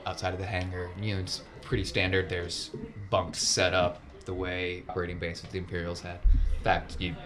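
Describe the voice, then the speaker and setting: A man with a low voice acts as game master, narrating scenes in a casual, conversational way. There is faint noise behind him on the recording. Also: monotone, normal speed, hardly any pauses.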